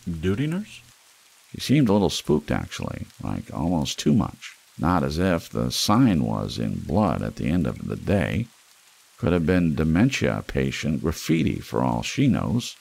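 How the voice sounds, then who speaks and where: Very deep, gravelly man narrating dark fiction. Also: poor audio. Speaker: audiobook narrator. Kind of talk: scripted noir fiction narration for ASMR.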